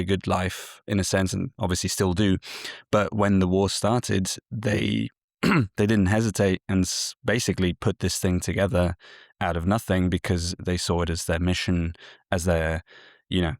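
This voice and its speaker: A man's voice: low-pitched, creaky, no echo, studio quality. A man hosting a podcast, talking reflectively with frequent restarts. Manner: reflective, many fillers and restarts. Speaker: podcast host. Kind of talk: conversational podcast talk.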